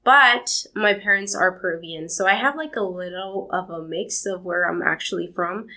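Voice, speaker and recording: A woman's voice: fairly low pitch, normal speed. A young woman from Argentina, answering interview questions in a rambling, storytelling way. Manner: warm, rambling storytelling with laughs. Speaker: podcast guest.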